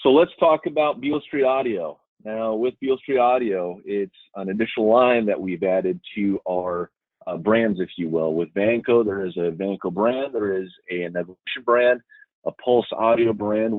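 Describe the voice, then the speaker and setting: A man's voice: sits low, no room sound. A man presenting products to dealers in an informal webinar, explaining like a salesman and often checking in with short tags. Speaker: webinar presenter for a speaker brand. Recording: muffled, phone-like webinar audio.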